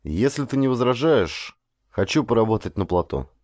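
A man's voice: medium pitch, big pitch swings, normal speed, darkish tone, dry recording, clean studio sound. A man voicing an earnest, respectful young man talking thoughtfully and a little hesitantly in an audiobook. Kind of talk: audiobook character dialogue.

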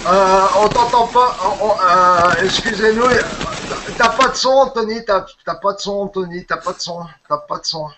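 A man's voice: sits high, moderate pace, background noise. A man co-hosting a video call from an office, talking urgently and repeating himself. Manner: urgent, repetitive. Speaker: co-host. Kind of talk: casual video call chat.

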